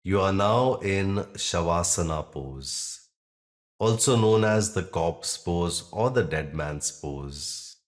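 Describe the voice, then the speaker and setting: A man with a deep voice leads a guided relaxation. He speaks very slowly and calmly, in short measured phrases separated by long pauses. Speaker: yoga nidra meditation guide.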